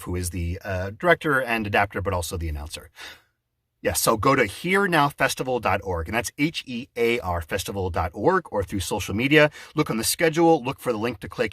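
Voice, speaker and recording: Man, lowish pitch, raspy husky tone, dry sound, conversational promo, digressive, halting, replies to an unheard listener. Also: bright, forceful tone, dry recording. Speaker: theatre director, adapter and announcer. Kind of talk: one-sided mock conversation promoting an audio drama event.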